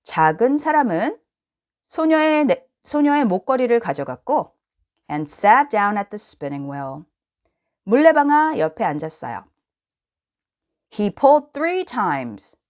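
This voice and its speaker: Slow, animated woman reading a children's story. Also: a little high. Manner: expressive, deliberate storytelling. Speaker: storybook reader. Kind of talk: bilingual children's story read-aloud.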